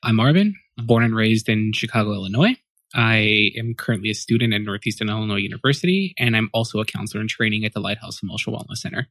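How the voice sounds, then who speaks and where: A man's voice: fairly low pitch, fast, fairly bright tone, no room sound, low-quality recording. A man in his twenties, a podcast guest, answering questions in a loose, rambling way, often correcting himself and thinking aloud. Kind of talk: casual interview answers.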